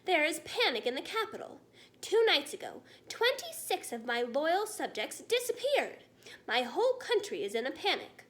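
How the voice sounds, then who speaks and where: A woman's voice: high voice, sing-song, moderate pace, fairly bright tone. A woman voicing an alarmed, testy and incredulous king in a storybook. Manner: agitated, incredulous.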